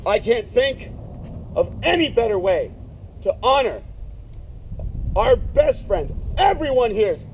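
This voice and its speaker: A man speaking loudly and emphatically in a casual way, giving a eulogy at a memorial gathering. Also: very high voice, sing-song, slow pace, long pauses. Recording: dull lo-fi sound, faint noise.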